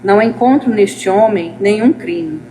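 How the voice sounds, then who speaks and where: A woman's voice: mid-range voice, measured pace, dark tone, slight background noise, close to an everyday voice, rough recording. A woman reading the part of a formal, judging governor in a dramatized scripture reading, with declarative delivery. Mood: formal, reasoning.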